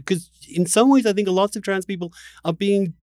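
A man hosting an impassioned conversational debate, haltingly repeating words as he builds his point. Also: sits high, a little mellow, no room sound, studio quality. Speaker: interview host.

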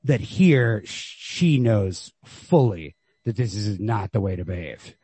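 A man's voice: fairly low pitch, animated, slow, mellow, breathy edge, no room sound. A man co-hosting a podcast, discussing literature casually in an informal, emphatic way with some swearing. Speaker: podcast co-host.

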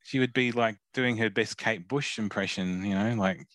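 A man's voice: lowish voice, moderate pace, fairly bright tone, no echo. A man co-hosting a podcast, describing photos in a hesitant way, full of ums and easy laughs. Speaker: podcast co-host. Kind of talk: casual chat describing photos.

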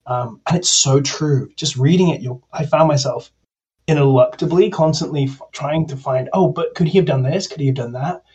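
A man's voice: a little high, normal speed, a little mellow, husky, lo-fi sound. A man on a podcast panel, discussing a book in an articulate, academic way.

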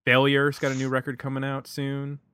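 A man's voice: mid-range voice, completely flat delivery, a little fast, fairly bright tone, clear, resonant tone, no echo. A man hosting a podcast, talking informally as he discusses records and addresses listeners. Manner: informal, conversational. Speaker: podcast host. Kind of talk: casual album discussion and show sign-off.

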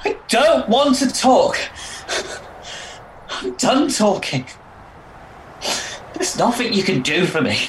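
Casting: man as troubled male police constable at the end of his tether, slow delivery, audio drama. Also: sits high, big pitch swings, slight background noise, full character voice. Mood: desperate, cornered. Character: desperate, broken young male police constable in despair. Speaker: actor playing a police constable.

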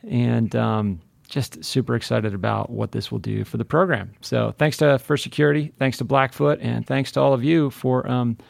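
A man's voice: medium pitch, gravelly, no room sound, clean studio sound. A man hosting a podcast, introducing his guest in an upbeat, chatty way with many fillers. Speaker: podcast host. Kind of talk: casual podcast interview.

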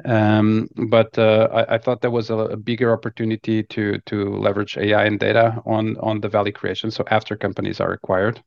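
A man's voice: fairly low pitch, little pitch movement, fairly quick, gravelly, hardly any pauses, no room sound. A man, talking casually as an interview guest on dull, dry lo-fi audio. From Lisbon, Portugal. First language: Portuguese. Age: 40s. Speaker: podcast guest, technology executive.

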